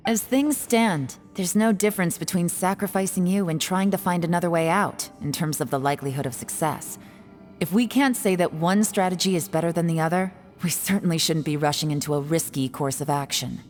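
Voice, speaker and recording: An actress playing a cool, shrewd strategist in a video game, measured and sardonic. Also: female voice, fairly low pitch, darkish tone, faint music behind, lightly acted. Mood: measured reasoning. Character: cool, shrewd adult female strategist, skeptical.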